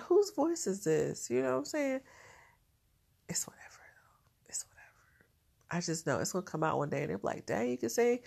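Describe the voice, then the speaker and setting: Low gravelly woman rambling in her diary vlog, stuffed-up nasal sound. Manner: chatty, laughs often. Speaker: vlogger.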